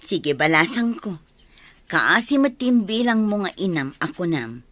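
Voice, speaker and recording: A woman's voice: mid-range voice, big pitch swings, unhurried, fairly bright tone, no room sound. Woman acting kindly older Ilocano village woman. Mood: sympathetic. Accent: Ilocano Filipino. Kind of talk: Ilocano radio drama acting.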